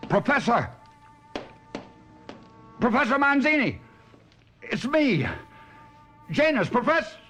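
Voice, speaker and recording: An actor playing a troubled, sentimental stage ventriloquist in a theatrical, brooding 1970s radio mystery drama style. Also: male voice, extremely high pitch, big pitch swings, slow pace, pauses often, over music, exaggerated voice. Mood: frantic calling.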